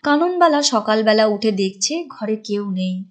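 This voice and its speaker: A woman's voice: a little high, animated, a little slow. A woman reading scripted storybook narration for a cartoon as its narrator. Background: music.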